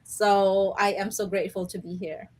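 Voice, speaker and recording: A woman's voice: medium pitch, flat delivery, normal speed, darkish tone. A woman from the Philippines, speaking in a friendly, grateful way in a casual online chat. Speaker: co-host.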